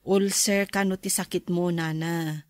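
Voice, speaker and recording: A woman's voice: lowish voice, steady tone, measured pace, a little mellow, close to an everyday voice. A woman playing a composed, forgiving schoolteacher in a radio drama, speaking in a considerate way. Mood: kindly.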